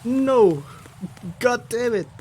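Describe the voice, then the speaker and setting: A game streamer giving casual, halting commentary, muttering along while playing. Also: mid-range voice, lively intonation, darkish tone, a little hiss or hum. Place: voice over game audio with music behind.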